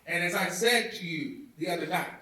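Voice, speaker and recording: Echoey, high-pitched man delivering a speech. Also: normal speed, bright, forceful tone. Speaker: reader of a historic speech. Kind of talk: oratorical public speech reading. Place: indoor theatre, recorded from afar. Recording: echoey hall, distant mic.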